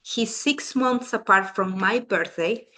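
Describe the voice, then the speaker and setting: A woman's voice: mid-range voice, slow, pushed and bright. Chatty woman with a Peruvian accent. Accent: Peruvian Spanish. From Peru. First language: Spanish. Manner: chatty, restarts sentences often. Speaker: family constellations facilitator. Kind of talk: casual talk to a small group.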